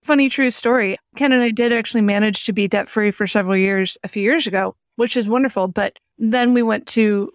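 A woman's voice: mid-range voice, a little fast, few pauses, no room sound, studio quality. A woman co-hosting a podcast, speaking casually and telling personal anecdotes. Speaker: podcast co-host.

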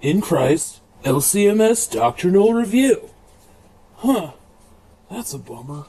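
Man playing high-voiced, overly eager worship leader. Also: big pitch swings, takes it slowly, warm tone, slightly rough, exaggerated voice. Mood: reading aloud. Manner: slangy, upbeat, reads letters aloud. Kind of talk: comedy radio sketch.

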